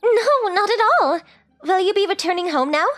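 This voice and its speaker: Very high, prim teenage girl. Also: expressive, moderate pace, fairly bright tone, no room sound, faint music behind. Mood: reserved. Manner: very formal, prim, hesitant phrasing. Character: polite, formal teenage rich girl, shy new transfer student. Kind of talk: comic anime-style dub acting.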